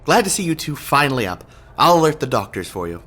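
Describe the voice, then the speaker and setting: A man's voice: fairly high pitch, big pitch swings, fast, fairly bright tone, husky, exaggerated voice. An actor playing a formal, puzzled, politely inquisitive male hospital nurse in a radio drama. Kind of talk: radio horror drama acting.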